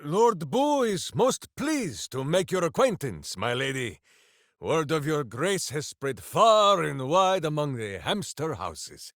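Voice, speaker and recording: Boisterous hamster-loving ranger; measured, deliberate delivery, voice leaps wildly in pitch. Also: male voice, big pitch swings, no echo, studio quality, heavily acted. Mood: heroic. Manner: booming and theatrical, refers to himself in third person. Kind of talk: video game voice lines.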